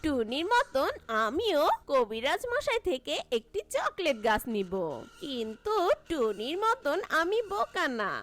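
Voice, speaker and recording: A woman voicing a sly, envious cartoon crow, cackling and wheedling with drawn-out delivery. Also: high-pitched, big pitch swings, a bit bright, heavily acted. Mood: gleeful scheming. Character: sly, envious, scheming crow who lies sweetly. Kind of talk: animated cartoon character acting.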